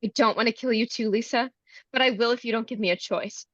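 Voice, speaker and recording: A woman's voice: fairly high pitch, quick pace, fairly bright tone, no echo, lo-fi sound. A woman playing a defiant, frightened young beauty queen in a live screenplay table read, confrontational and urgent.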